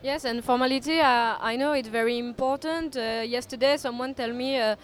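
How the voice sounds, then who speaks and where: A woman's voice: sits high, fairly quick, faint music behind. A young woman co-hosting student radio, chatty and giggly, searching for English words. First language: French. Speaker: radio co-host. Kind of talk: casual student radio chat.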